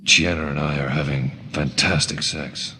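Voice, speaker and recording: An actor playing a blunt, gruff warrior in a sci-fi television drama, with a husky voice. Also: male voice, rumbling low, steady tone, normal speed, sharp tone, heavily acted. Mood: blunt, matter-of-fact. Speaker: character in a sci-fi TV drama clip. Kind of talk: screen drama acting.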